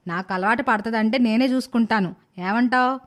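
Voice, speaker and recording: A woman playing a blunt, nagging old mother-in-law in an animated moral story. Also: a little high, a little fast, lightly acted. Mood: grudging.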